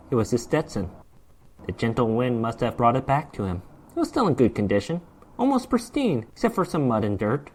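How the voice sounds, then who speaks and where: A man reading a short story aloud as dramatic scripted narration, in the role of storyteller. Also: fairly high pitch.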